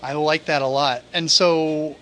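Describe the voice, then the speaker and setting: Guy interviewing at a conference, bit high pitched, jokey and chatty. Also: steady tone, pushed and bright, a little hiss or hum. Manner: chatty, jokey, quick asides. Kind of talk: casual interview. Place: at a conference in New York City.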